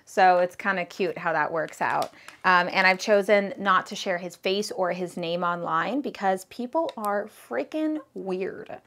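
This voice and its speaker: A woman's voice: fairly low pitch, a little slow, fairly bright tone, slight background noise. Chatty woman of thirty. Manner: chatty, rambling with fillers and likes. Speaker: candle business vlogger. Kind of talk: casual chat to camera.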